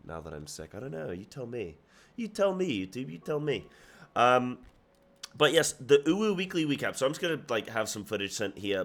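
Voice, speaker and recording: A young man with a cold, sounding a little nasal, chatting casually and animatedly as he recaps his week of gaming for his viewers. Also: on the low side. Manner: chatty, animated, with frequent restarts. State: sick, slightly nasal. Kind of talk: casual solo recap to camera.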